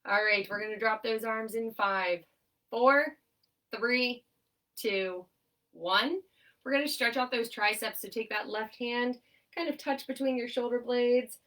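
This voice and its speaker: A young woman occupational therapist leading a seated exercise class, her voice nasal and stuffed up, giving upbeat cues and steady countdowns. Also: fairly high pitch, measured pace, distant mic. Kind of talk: instructional exercise cueing with countdowns.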